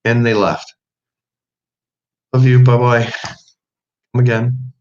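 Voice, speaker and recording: A man's voice: medium pitch, little pitch movement, takes it slowly, darkish tone, slight background noise. A man co-hosting a livestream test, chatting casually and easygoing. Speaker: livestream co-host. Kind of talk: casual chat. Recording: lo-fi livestream audio.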